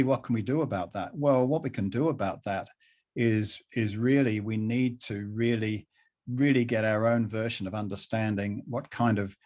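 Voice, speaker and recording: A middle-aged man speaking conversationally as an interview guest, haltingly, often repeating phrases to drive them home. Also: fairly low pitch, a little mellow.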